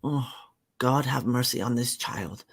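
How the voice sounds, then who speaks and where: A man's voice: mid-range voice, unhurried, gravelly, breathy edge. A man voicing a housekeeper woman in her thirties, prim and formal with a mannered put-on way of speaking.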